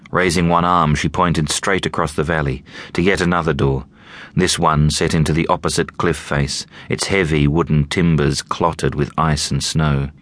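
A man narrating an audiobook in a measured, deliberate storytelling manner. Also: rumbling low, a bit bright, no echo.

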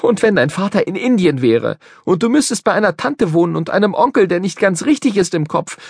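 A man playing a miserable young boy in a storybook, earnest and close to tears, his voice lifted above a grown man's. A gravelly, husky texture stays in it, recorded dry and close. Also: sits high, sing-song, a little fast, a little mellow, dry recording, pitched above the performer's own voice, exaggerated voice. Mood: upset. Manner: earnest, upset and tearful. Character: unhappy, grubby young country boy. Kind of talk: storybook character voice.

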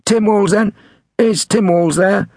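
High-pitched cheeky Liverpudlian prankster played by a man. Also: gravelly, darkish tone, dry recording, studio quality, heavily acted. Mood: mischievous. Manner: mock-polite, quick-fire questioning. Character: cheeky young male prank caller, mischievous and mock-innocent. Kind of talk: acted storybook dialogue.

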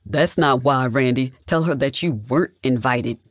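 A narrator playing a protective, indignant husband in an audiobook. He speaks bluntly and emphatically at a middle pitch, with a husky edge. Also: moderate pace, no room sound, clean studio sound, close to an everyday voice. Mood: irritated.

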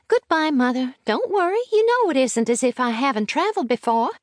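Eager, high-voiced little girl. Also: lively intonation, fairly quick, no echo, studio quality. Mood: eager, wilful. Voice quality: small, high treble voice. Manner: eager, insistent. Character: eager, wilful, chattering ten-year-old girl in a storybook.

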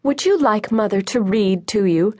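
Storybook housewife mother, acted by a female narrator: high, swooping, chirpy, sugary and fluttery. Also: expressive, moderate pace, a little mellow, no room sound, studio quality, lightly acted. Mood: cooing, tender. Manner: chirpy, effusive, over-sweet.

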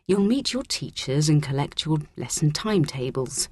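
A woman narrator voices a head teacher in a school story. She is calm and authoritative yet kindly, with expressive pitch movement and a dry studio-close sound. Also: normal speed, no room sound. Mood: welcoming.

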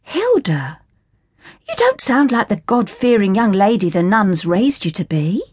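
A woman voicing a meek, pious young orphan girl who speaks softly and gently. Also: medium pitch, sing-song, measured pace, breathy edge, dry recording, clean studio sound, pitched above the performer's own voice. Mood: gentle. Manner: soft and gentle.

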